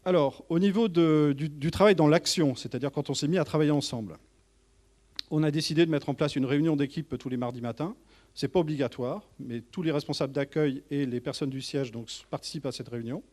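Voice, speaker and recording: A man giving an informal professional presentation to colleagues as a manager, speaking in a halting way with frequent false starts. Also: on the high side, darkish tone. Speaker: tourist office manager presenting.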